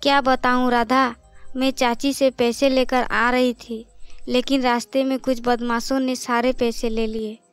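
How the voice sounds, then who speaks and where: A woman's voice: fairly high pitch, level tone, a little slow, smooth, dry recording, soft background music, lightly acted. A woman voicing an earnest, responsible poor elder sister in a cartoon. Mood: worried.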